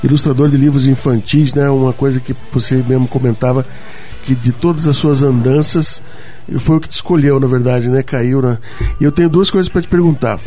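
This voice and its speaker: A man's voice: medium pitch, fairly even intonation, fairly quick, warm tone. A man hosting a radio talk show, asking a guest questions with chatty, enthusiastic interjections. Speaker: radio host. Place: radio studio.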